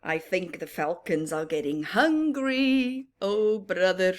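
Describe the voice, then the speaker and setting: A woman reading a picture book aloud to young children, interactive and expressive, with a sing-song, questioning manner. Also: on the low side, slow. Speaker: children's story reader and teacher.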